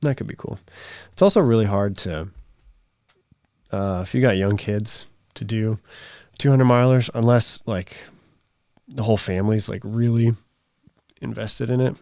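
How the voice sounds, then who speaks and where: A man in his thirties hosting a live stream, chatting casually and reading viewer comments, his voice strained and raspy, talking in rambles full of fillers. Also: fairly low pitch, measured pace, pauses often, darkish tone, no echo. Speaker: livestream host.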